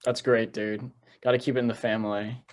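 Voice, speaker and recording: A young man chatting casually on a podcast, relaxed, slangy and easily amused. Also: mid-range voice, brisk. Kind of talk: casual chat.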